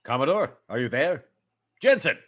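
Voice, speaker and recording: An actor playing a pompous, duty-bound alien spaceship officer in a formal, declamatory way at a comedy table read. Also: male voice, mid-range voice, big pitch swings, normal speed, fairly bright tone. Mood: commanding. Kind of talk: comic sci-fi script table read.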